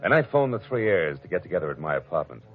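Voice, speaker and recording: A low-voiced, hard-boiled private eye, tough and wry in a 1940s radio crime drama. The recording is dull and old, with faint music behind. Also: male voice, big pitch swings, fast. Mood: wry narration. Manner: wisecracking, tough. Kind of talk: 1940s radio acting, first-person noir narration.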